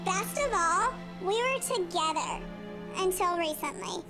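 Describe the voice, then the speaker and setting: A woman with a very high voice narrates a scripted clue package. Her tone is earnest and confessional, with music under her. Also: animated, a little slow. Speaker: masked contestant in a clue package. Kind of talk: scripted voice-over. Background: soft music.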